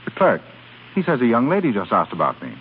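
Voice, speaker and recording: An actor playing a suave young man in 1930s radio drama style, with a smooth, amused and lightly teasing manner. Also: mid-range voice, huge pitch swings, quick pace, phone-quality sound, exaggerated voice. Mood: amused, affectionate. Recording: thin, noisy 1930s radio recording.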